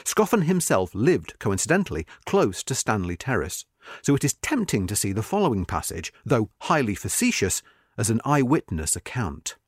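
A man narrates a history article in a measured, expressive read. His intonation swings widely from phrase to phrase, stressing key words. The recording is dry and close, with no room sound. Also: fairly low pitch, breathy edge, no echo. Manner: measured, expressive reading with marked emphasis. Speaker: narrator reading a magazine article. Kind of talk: scripted narration.

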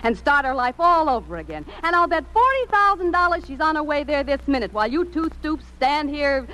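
A blunt, indignant woman in a 1950s radio drama. She talks tough in a fairly high voice. The vintage recording carries light noise. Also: big pitch swings, brisk, sharp tone, heavily acted, rough recording. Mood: indignant, scolding.

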